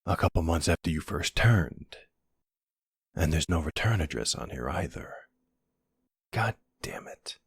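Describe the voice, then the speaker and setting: Casting: male actor as supportive boyfriend for intimate roleplay audio; low, very husky voice, halting and gently questioning. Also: expressive, dry recording, clean studio sound, heavily acted. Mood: puzzled, wary. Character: supportive, puzzled boyfriend of a werewolf, adult man.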